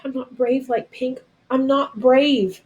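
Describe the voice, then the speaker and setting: A woman's voice: sits high, steady tone, slow, a little mellow, lo-fi sound, voice pushed up. A woman voicing a frightened young boy soldier, guilt-ridden and pleading, in a storybook. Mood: fearful. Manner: pleading, emotional outbursts.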